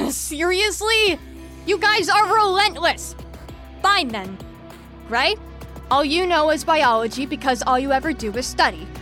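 Actress as a high-voiced, sardonic young group leader. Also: female voice, animated, unhurried, bright, forceful tone, soft background music, full character voice. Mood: exasperated outburst. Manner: sarcastic, weary, lecturing. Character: exasperated, sardonic young woman leading a group lesson. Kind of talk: audio drama acting.

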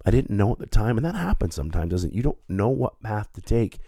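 A man's voice: lowish voice, sing-song, quick pace, mellow, slightly rough, no echo, studio quality. A man hosting his own podcast, stuffed up with a head cold, talking in an earnest, reflective and conversational way. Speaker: podcast host. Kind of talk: solo podcast monologue.

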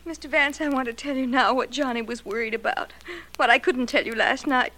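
A woman's voice: high-pitched, fairly even intonation, brisk, sharp tone, poor audio. An actress playing a grieving, earnest young woman in 1940s radio melodrama style. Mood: tearful, resolute.

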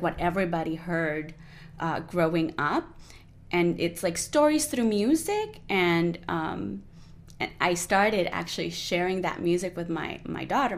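Colombian woman in her 40s, Spanish-native, animated chatty guest, slightly low pitch. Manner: animated, lots of fillers like "you know". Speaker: guest musician. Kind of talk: casual interview conversation.

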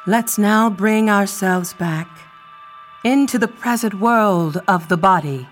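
An actress playing a serene, sinister cult leader in an audio play, measured, ceremonial and coolly controlled. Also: female voice, mid-range voice, soft background music, natural voice.

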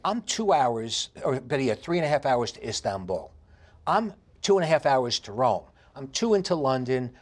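New york guy in his 50s, chatty interview guest, punchy and emphatic. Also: medium pitch. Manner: animated, emphatic storytelling. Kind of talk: candid conversational interview.

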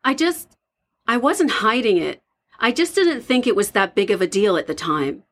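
A woman's voice: a little high, big pitch swings, moderate pace. An actress playing a young woman, a worried and defensive roommate with a hesitant manner, in an audio drama. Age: young adult. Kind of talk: naturalistic audio drama acting.

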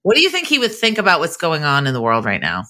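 Bright, low-pitched American woman hosting a podcast. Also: wildly swooping pitch, fast. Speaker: podcast host.

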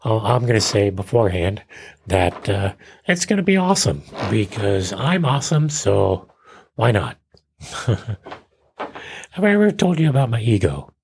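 A man around fifty with a fairly low, husky voice, chatting casually on his own as a host. He rambles loosely and jokes at his own expense. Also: sing-song, unhurried, a little mellow. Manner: self-deprecating, joking. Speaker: podcast host. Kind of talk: casual rambling solo chat.

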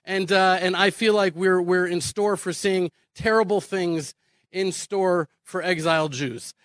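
A man's voice: high voice, steady tone, unhurried, fairly bright tone, no echo. An American man from Houston giving an impassioned conference speech, earnest and emphatic, repeating phrases and posing rhetorical questions. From Houston, Texas, United States. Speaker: speaker advocating immigration to Israel.

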